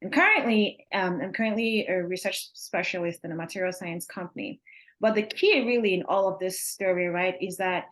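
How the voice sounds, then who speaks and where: A woman's voice: on the low side, moderate pace, a bit bright. Woman from Nigeria telling her story. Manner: loose conversational phrasing with self-corrections. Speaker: webinar co-presenter. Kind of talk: personal story in a webinar.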